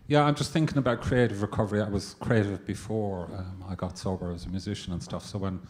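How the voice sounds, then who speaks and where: A man's voice: fairly low pitch, steady tone, fast talker, darkish tone, slight background noise. An older man in his sixties asking a reflective, hesitant question from the audience. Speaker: audience member. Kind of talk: question from the floor.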